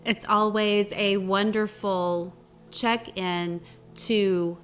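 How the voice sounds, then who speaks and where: A woman leading a guided meditation as a teacher, speaking gently in short phrases with long pauses between them. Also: mid-range voice, level tone. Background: meditation music.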